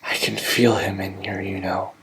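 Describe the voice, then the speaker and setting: A man voicing a gentle, loyal small male engine who is dying, speaking in weak, slow, breathy whispers. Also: low-pitched, level tone, noisy background. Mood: fading. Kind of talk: fan audio drama acting.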